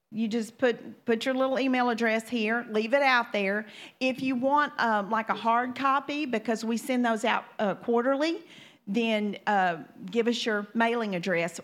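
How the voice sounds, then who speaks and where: A woman in her sixties with a Louisiana accent talks to a church congregation, chatty and homey, often laughing. Her voice sits a little high for a woman. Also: a bit bright. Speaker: minister's wife. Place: church sanctuary.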